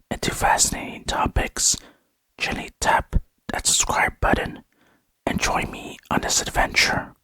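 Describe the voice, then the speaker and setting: A man whispering scripted ASMR narration in a hushed, unvoiced voice, close to the microphone. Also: no echo. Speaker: video host and narrator.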